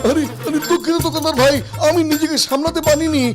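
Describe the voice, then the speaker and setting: An actor voicing a greedy, excitable, melodramatic little man in a Bengali cartoon dub. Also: high voice, fast, natural voice. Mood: apologetic, whiny. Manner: exclamatory, melodramatic. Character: greedy excitable samosa-loving cartoon man shrunk small. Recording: loud music under the voice.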